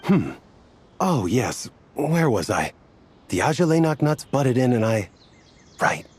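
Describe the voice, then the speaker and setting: A man's voice: medium pitch, very animated, slow, slightly rough, exaggerated voice. A man voicing an earnest, easily sidetracked wanderer in a video game, telling his story with small stumbles. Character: earnest, rambling man of uncertain ancestry.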